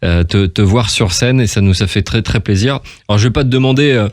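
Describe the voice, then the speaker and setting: A man with a fairly low voice, recorded close and dry. He hosts a chatty radio interview, informal and effusive, often rambling from one thought into the next. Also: fairly quick, no room sound.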